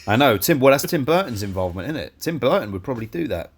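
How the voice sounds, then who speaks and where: A young man co-hosting a casual film review podcast, blunt and teasing, swearing freely and a little hoarse. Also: mid-range voice, sing-song, fast. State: losing his voice. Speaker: podcast co-host.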